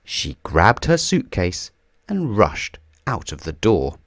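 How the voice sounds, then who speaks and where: A man reading a children's storybook aloud in a theatrical, deliberate way, drawing out key words for emphasis. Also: low voice, wildly swooping pitch, no room sound, studio quality. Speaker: children's book reader. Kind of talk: scripted storybook read-aloud.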